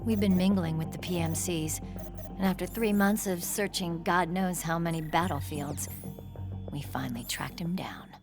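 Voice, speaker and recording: An actress playing a tough, hot-tempered young American squad commander in a video game, blunt and military in manner. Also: female voice, on the low side, moderate pace, a little mellow, soft background music, natural voice. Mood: businesslike. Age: young adult. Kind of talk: dramatic video game cutscene acting.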